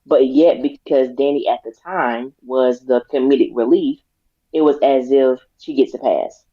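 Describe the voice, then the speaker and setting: A raspy-voiced woman co-hosts a casual talk show, making her points deliberately and with emphasis. The recording is dry and has a dull, lo-fi sound. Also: a bass voice, dry recording. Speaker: podcast co-host. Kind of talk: casual discussion.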